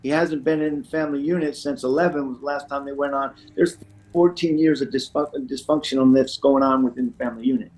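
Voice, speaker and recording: A man's voice: mid-range voice, fairly even intonation, fast talker, a little mellow, low-quality recording. A middle-aged man chatting as an interview guest, a recovery counselor who rambles and often says "you know". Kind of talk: conversational interview, sharing personal anecdotes.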